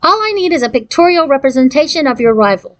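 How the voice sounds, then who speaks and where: A woman's voice: high-pitched, expressive, quick pace, voice pushed up. A woman voicing an anxious, self-important fairy godmother who talks in pompous, formal officialese for a storybook. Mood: patient, businesslike. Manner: pompous, formal bureaucratic wording.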